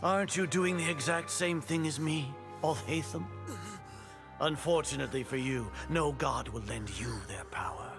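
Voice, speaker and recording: A man voicing a haughty, scheming older grand sage in a video game, speaking in a pompous, measured, formal way. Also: fairly high pitch, loud music behind the voice, lightly acted. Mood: mocking. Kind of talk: video game villain acting.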